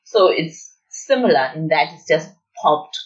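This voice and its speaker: A woman's voice: low voice, animated, unhurried. A woman, explaining her research as an interview guest. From Zimbabwe. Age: 20s. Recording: dull lo-fi audio.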